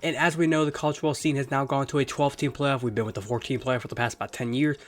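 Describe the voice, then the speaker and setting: A man's voice: medium pitch, fairly even intonation, quick pace. A young man hosting his own sports talk video, congested from illness, rambling casually and swearing now and then. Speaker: sports channel host.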